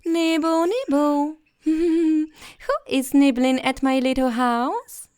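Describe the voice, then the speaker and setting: A woman voicing an ancient wicked witch who feigns sweet kindness, coaxing in a drawn-out sing-song storybook way. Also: high-pitched, fairly even intonation, a little mellow, pitched above the performer's own voice. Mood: soft, teasing.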